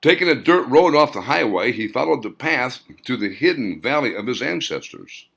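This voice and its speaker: A man's voice: moderate pace, creaky. A man narrating his own novel as an audiobook, telling it expressively. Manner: expressive storytelling with wide pitch swings. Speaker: author and narrator.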